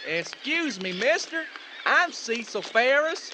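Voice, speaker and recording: Very high-voiced Southern country rube. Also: male voice, very animated, takes it slowly, background noise, exaggerated voice. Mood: wary. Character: naive, plain-spoken country man on vacation. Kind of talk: old-time radio comedy acting.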